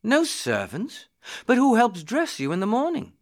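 A man's voice: medium pitch, very animated, measured pace, gravelly, fairly bright tone, exaggerated voice. A man voicing a proud, curious and imperious boy prince in a storybook. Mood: curious, astonished. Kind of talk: storybook character reading.

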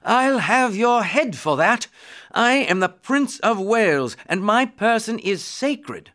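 A man's voice: mid-range voice, sing-song, measured pace, gravelly, a bit bright, exaggerated voice. A man voicing a proud, curious and imperious boy prince in a storybook. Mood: outraged, imperious. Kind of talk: storybook character reading.

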